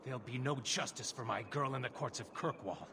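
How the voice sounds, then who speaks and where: A man's voice: mid-range voice, a little fast, natural voice. An actor playing a grieving elf father in a video game, speaking with bitter, vengeful passion. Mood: bitter grief. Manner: impassioned, bitter. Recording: noisy ambient background.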